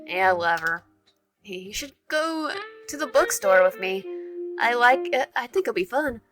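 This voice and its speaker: A woman voicing a confident, teasing young man in a dating game, speaking in a soft, playful way. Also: on the high side, lively intonation, unhurried, over music. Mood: casual.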